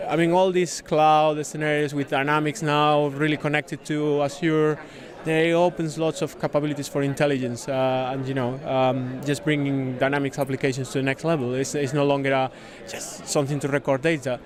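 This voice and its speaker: A man from Spain with a Spanish accent, a native Spanish speaker, explaining a product in a casual interview with halting, repeated words. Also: a little high, flat delivery. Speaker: software consultant demonstrating a product, interviewee. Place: busy conference venue.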